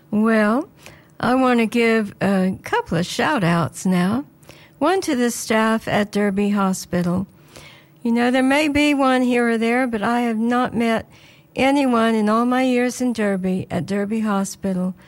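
Slightly hoarse woman presenter. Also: on the high side. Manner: earnest, prayerful, conversational. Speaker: radio presenter.

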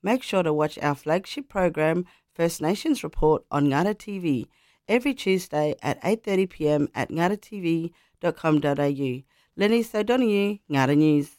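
A woman newsreader delivers a scripted bulletin. Her voice sits on the low side, and the sound is dry and close. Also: expressive, normal speed, darkish tone, no echo. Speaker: radio news reader.

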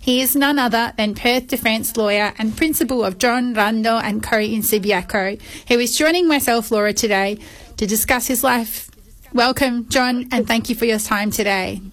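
A woman's voice: on the high side, moderate pace. Composed woman hosting an interview. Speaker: interview host. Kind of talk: scripted introduction and interview questions.

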